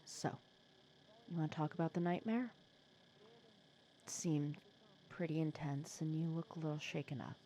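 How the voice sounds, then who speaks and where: A woman playing a wry, protective supervillain nemesis who talks softly and teasingly in a comforting ASMR roleplay audio drama. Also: sits low, fairly even intonation, normal speed, long pauses, slight background noise, lightly acted, poor audio. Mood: soothing, concerned. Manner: soft-spoken, teasing, conversational with hesitations.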